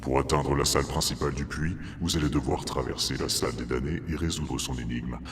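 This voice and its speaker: Abyssally deep ancient monster guardian. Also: male voice, fairly even intonation, background noise. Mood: formal, composed. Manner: formal, measured, grave. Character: huge, ancient monster guardian of a dungeon well, solemn. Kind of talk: audio drama acting.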